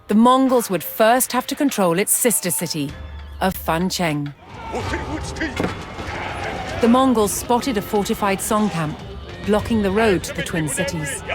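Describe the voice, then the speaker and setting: A woman narrates in the style of a history documentary, with clear music running behind her. Her mid-pitched voice is measured and dramatic. She places deliberate pauses as she tells the story. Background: background music.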